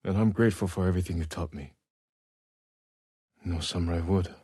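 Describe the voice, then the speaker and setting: An actor playing a stern, honorable young samurai in a video game, speaking low and husky in a restrained, measured, terse way. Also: male voice, steady tone, warm tone, no echo, studio quality. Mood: grave, resolute. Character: stern, honorable young samurai warrior, weary but resolute. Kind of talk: dramatic video game acting.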